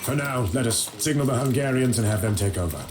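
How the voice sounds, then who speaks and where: A man's voice: medium pitch, fast talker, close to an everyday voice. Guy doing solemn knight leader from a video game, resolute n commanding, music behind him. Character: grave, resolute knightly lord leading a quest. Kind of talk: dramatic video game cutscene acting.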